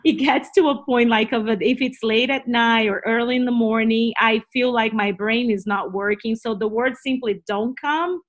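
A woman's voice: on the high side, moderate pace, hardly any pauses. A woman from Brazil, a native Portuguese speaker with a Brazilian accent, telling personal stories expressively as an interview guest, often repeating herself as she goes. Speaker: interview guest, Bible teacher. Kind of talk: personal storytelling in a conversational interview.

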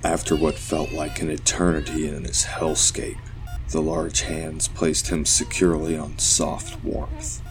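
Very deep male horror narrator over music. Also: little pitch movement, measured pace. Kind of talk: scripted horror story narration.

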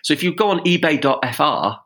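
A man being interviewed on a podcast, talking casually in a halting, hesitant way. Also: on the high side, lively intonation, vocal fry, a bit bright. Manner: hesitant, halting, many restarts. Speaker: podcast guest.

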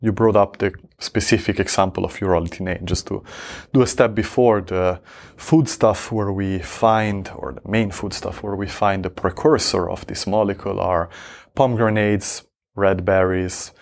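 A man's voice: fairly low pitch, steady tone, moderate pace, creaky. A man from Switzerland, a researcher answering interview questions, talking hesitantly with many fillers and restarts. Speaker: researcher guest.

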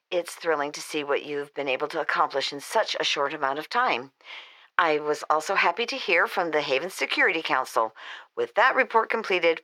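A woman playing a gracious, earnest senator who reads a letter in a formal, measured way for an audio drama. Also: sits low, bright, forceful tone.

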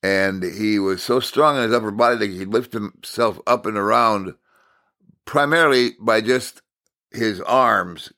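An American man from Minnesota giving an informal sermon as a Bible teacher, telling stories in deliberate, halting phrases with emphatic repetition. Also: on the low side, bright, forceful tone. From Minnesota, United States.

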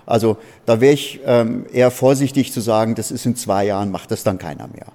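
Mellow-voiced man on a hall panel. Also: lowish voice. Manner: rambling, fills pauses with "ja" and "letztendlich". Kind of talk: panel discussion answer. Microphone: handheld mic.

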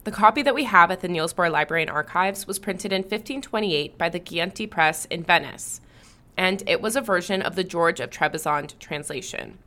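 A woman hosting a history podcast, reading scripted narration in a clear storytelling manner and bantering lightly with her co-host. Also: lowish voice, animated, brisk, bright, forceful tone.

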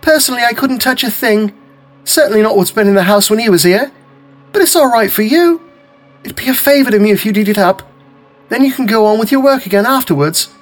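A man voicing a grieving young housewife who is secretly cunning, speaking in a coaxing, falsely gentle way with a husky edge. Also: high-pitched, expressive, a little fast, soft background music, pitched above the performer's own voice. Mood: sweetly pleading.

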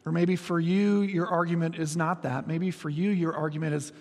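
A man in his forties preaching a sermon, telling stories with humor and moving between soft, slow passages and quick, lively ones. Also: on the high side, faint music behind. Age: 40s. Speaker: pastor. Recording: long reverb of a large hall.

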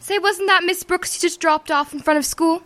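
A woman's voice: extremely high pitch, steady tone, normal speed, a bit bright. A woman playing a perky, innocent teenage schoolgirl in an old-time radio comedy. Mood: excited, chatty.

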